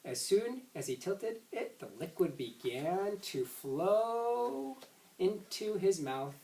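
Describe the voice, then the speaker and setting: A roomy, slightly distant recording of a man reading a children's storybook aloud. His pitch moves around in a lively way, and he occasionally trips on a phrase and reads it again. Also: slow, creaky. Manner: stumbles and rereads words. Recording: roomy echo, distant microphone.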